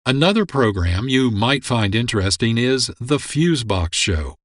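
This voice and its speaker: Male radio presenter, medium register, measured scripted announcing, dry studio recording. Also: mid-range voice, big pitch swings, dry recording. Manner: measured, informative announcer delivery. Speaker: radio show host. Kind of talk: scripted radio outro narration.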